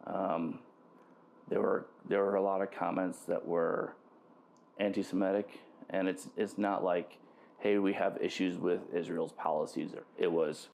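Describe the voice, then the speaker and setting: A very low male voice recounting his experience in an interview. He speaks carefully and hesitates with ums. A faint background hiss sits under him. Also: vocal fry, a little mellow. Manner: measured and hesitant, frequent ums. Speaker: interviewee, military veteran. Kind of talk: interview account.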